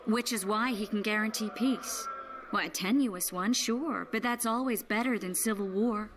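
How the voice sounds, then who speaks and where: An actress playing a politically shrewd woman of the court, measured and wry, in a video game. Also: medium pitch, noisy background, close to an everyday voice. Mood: explanatory. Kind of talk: video game cutscene acting.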